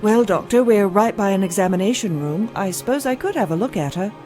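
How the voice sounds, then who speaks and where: An exhausted, compassionate doctor played by a woman in an audio drama. She speaks at an average pitch, earnest and dutiful, over quiet background music. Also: expressive, a little fast, lightly acted. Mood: polite, businesslike. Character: weary, dedicated, compassionate female doctor.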